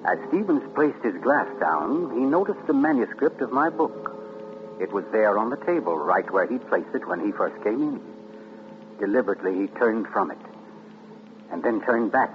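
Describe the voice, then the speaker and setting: A man narrating with measured suspense. His pitch moves widely across lines. The sound is dull and muffled, with clear hiss, like a 1940s radio broadcast. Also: big pitch swings. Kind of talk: scripted dramatic narration.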